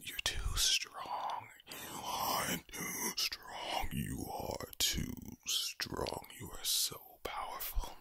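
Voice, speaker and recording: A man doing ASMR in a low, breathy whisper, giving a soothing pep talk with repeated phrases and a villain-like, menacing tone. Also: sharp tone, no echo. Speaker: ASMR video creator.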